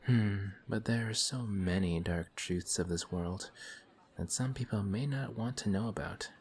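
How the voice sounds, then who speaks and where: An actor playing a courteous, formal villain who is sly and quietly taunting, in a one-sided audio roleplay. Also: male voice, fairly low pitch, a little slow. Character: suave, polite male villain in civilian clothes. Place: coffee shop scene with faint café chatter.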